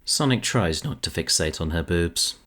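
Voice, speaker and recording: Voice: low-pitched, animated, moderate pace, fairly bright tone. A narrator reading scripted present-tense story narration for an audio drama.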